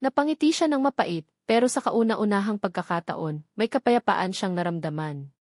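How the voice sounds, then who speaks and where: A woman narrating a scripted romance audiobook in a measured, sentimental storytelling manner. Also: medium pitch, expressive, darkish tone, no room sound, studio quality.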